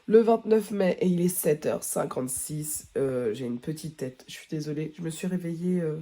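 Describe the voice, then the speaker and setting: Gravelly woman with a head cold. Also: deep voice, expressive, normal speed, mellow. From France. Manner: rambling, many fillers and restarts. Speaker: beauty vlogger. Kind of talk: casual chat to camera. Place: at home, early morning.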